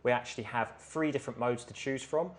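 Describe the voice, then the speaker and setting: A man's voice: medium pitch, quick pace. Man casually demonstrating a product. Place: indoor tool workshop showroom.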